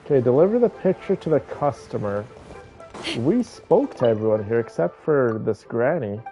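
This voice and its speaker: A man's voice: mid-range voice, very animated, moderate pace, warm tone, highs cut off, faint music behind. A man giving casual gameplay commentary, thinking aloud and talking to his viewers. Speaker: game streamer playing and commenting.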